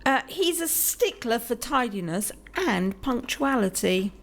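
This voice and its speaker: Woman playing a tart, lively servant. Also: fairly high pitch, unhurried. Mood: wry, brisk. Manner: tart, wry. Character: sharp-tongued, wry manor servant woman. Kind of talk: audio play acting.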